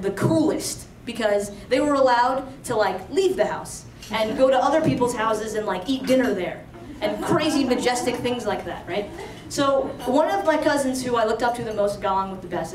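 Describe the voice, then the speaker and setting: A woman's voice: a little high, a little fast, hardly any pauses, slight background noise. Young woman telling a story live, chatty, lots of likes, roomy sound, slightly distant. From Alexandria, Virginia, USA. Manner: chatty and conversational, full of "like" and "you know". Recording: roomy live venue, voice from off-mic.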